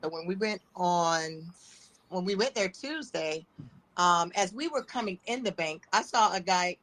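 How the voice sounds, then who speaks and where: A woman calling in, chatty and animated as she retells an experience, with many fillers. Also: lowish voice, unhurried, low-quality recording. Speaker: caller. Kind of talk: casual storytelling on a call.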